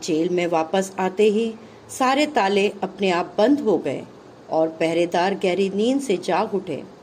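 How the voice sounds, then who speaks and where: A woman narrates a children's puppet show from a script. She is very unhurried and deliberate, with a mid-pitched voice and faint noise behind her. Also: expressive, a little mellow, rough recording. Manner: deliberate, phrase-by-phrase delivery.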